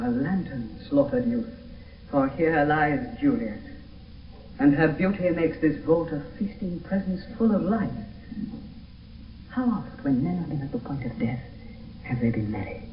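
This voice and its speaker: An actor playing a grief-stricken young lover in theatrical, impassioned radio Shakespeare verse. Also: male voice, mid-range voice, recorded from a distance, close to an everyday voice. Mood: sorrowful wonder. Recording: muffled, echoey, hissy old radio broadcast.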